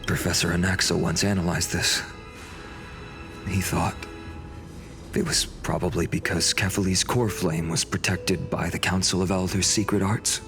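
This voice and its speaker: A man voicing a calm, earnest young hero and leader in a video game, speaking in a measured, polite way. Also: deep voice. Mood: calm, respectful. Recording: music under the voice.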